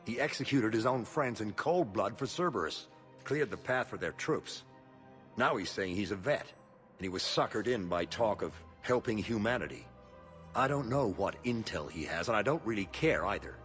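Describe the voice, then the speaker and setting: An actor playing a bitter, stubborn retired marine captain in a video game, with a terse, gruff delivery. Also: male voice, on the low side, lively intonation, normal speed, fairly bright tone, soft background music. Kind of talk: video game cutscene dialogue.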